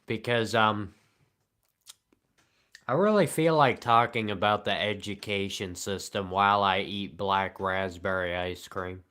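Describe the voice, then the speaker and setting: A man streaming live and answering the chat in a flat, deadpan, sarcastic way, with long pauses between remarks. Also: fairly low pitch, clean tone.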